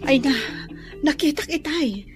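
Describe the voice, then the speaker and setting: A fairly high young woman's voice with a Filipino accent, playing a put-upon wife. She is anxious and easily stung, in the manner of radio drama. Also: lively intonation, unhurried, loud music behind the voice.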